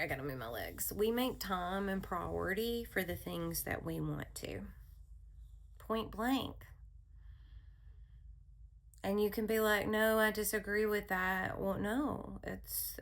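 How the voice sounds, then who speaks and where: Calm gravelly-voiced woman. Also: mid-range voice, takes it slowly, a little hiss or hum. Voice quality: calm soothing voice. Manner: calm, rambling, laughs often. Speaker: wellness channel host. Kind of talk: casual spiritual talk to viewers.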